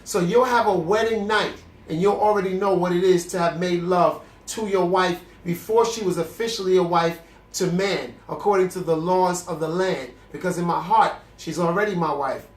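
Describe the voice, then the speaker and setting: Young male host, congested, earnest and preachy, higher voice, roomy echo. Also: normal speed. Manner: earnest, preacherly, with frequent restarts. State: congested, with a cough. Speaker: host of a celibacy video series. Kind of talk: candid faith talk to camera. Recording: echoey room.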